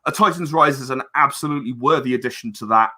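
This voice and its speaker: Bright-voiced man, halting and earnest. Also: mid-range voice. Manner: hesitant, halting, repeats words; earnest about creative work. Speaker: interview guest, game and audio drama creator. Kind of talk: casual interview chat.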